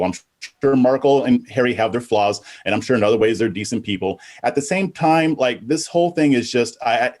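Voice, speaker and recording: Animated, higher-pitched man. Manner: dismissive, joking, stammering restarts. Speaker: panelist. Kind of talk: casual opinionated panel chat.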